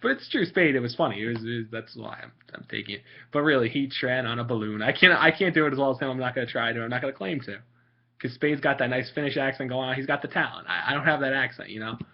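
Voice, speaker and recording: Sarcastic young man, muffled recording. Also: fast. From Italian. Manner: sarcastic, mocking, frequent fillers. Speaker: vlogger. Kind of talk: casual rambling commentary. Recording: muffled low-fi home recording.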